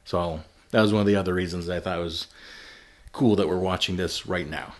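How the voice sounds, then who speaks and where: A man hosting a movie podcast, giving his views in a relaxed, thinking-aloud way. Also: low-pitched, a little fast. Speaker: podcast host. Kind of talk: casual film discussion.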